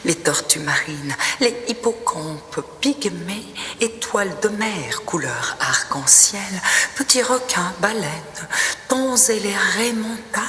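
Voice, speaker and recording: A woman poet reciting her own poem expressively, in measured phrases with breathy, hushed endings. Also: mid-range voice, lively intonation, slight background noise. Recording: long reverb, noticeable background ambience.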